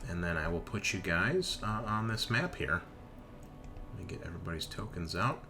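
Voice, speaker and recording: A man narrating casually as game master of a tabletop game, haltingly, as if thinking aloud. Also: sits low, lively intonation, sharp tone, faint music behind.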